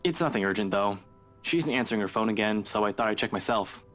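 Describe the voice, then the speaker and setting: A nonchalant investigator in a visual novel. His voice is low and his delivery is dry, offhand and understated. Music plays behind him. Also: expressive, quick pace, fairly bright tone. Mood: guarded, casual. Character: nonchalant, overworked male investigator friend, dry-witted.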